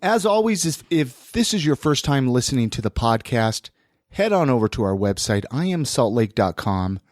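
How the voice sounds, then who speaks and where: A man's voice: medium pitch, very animated, moderate pace, no echo, studio quality. An American man hosting a podcast in a casual, chatty style, slightly congested from a head cold. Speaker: podcast host. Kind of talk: casual podcast chat and sponsor reads.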